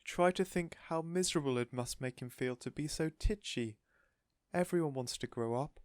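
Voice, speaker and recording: A man's voice: fairly high pitch, normal speed, no echo, studio quality, voice pushed up, close to an everyday voice. A man voicing a sweet, gushing woman who dotes on her pet, in a storybook reading. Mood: fretful, insistent. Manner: gushing, effusive, exclaiming. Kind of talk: storybook character voice in audiobook reading.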